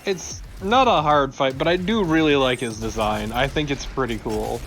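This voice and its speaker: A man's voice: medium pitch, normal speed, fairly bright tone, a little hiss or hum. A man co-hosting a let's play, commenting on the game in casual, sweary chat. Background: game music.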